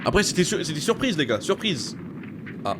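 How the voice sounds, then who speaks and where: A man chatting casually and excitably in slang as he reacts live to an anime. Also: a little high, a little fast, background noise. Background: anime soundtrack and dialogue.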